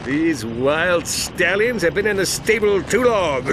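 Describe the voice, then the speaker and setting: An actor playing a pompous, cowardly, dim-witted space hero in a video game, bombastic and self-important. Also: male voice, high-pitched, noisy background, exaggerated voice. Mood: smug. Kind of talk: video game cutscene acting, mock-epic narration. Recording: music behind the voice.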